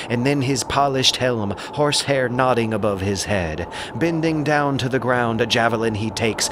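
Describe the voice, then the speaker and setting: A man narrating an epic audiobook in a dramatic, scripted style with theatrical, deliberate emphasis. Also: fairly low pitch, background noise. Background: ambient sound effects.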